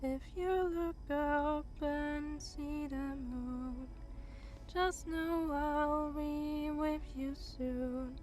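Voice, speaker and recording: A woman singing gently paced verses in a lullaby-like style. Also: high-pitched.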